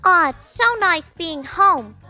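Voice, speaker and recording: A woman's voice: extremely high pitch, slow pace, sharp tone, soft background music, full character voice. A woman playing a perky, sweet, girlish cartoon hedgehog in an audio drama, bubbly and eager. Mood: cheerful.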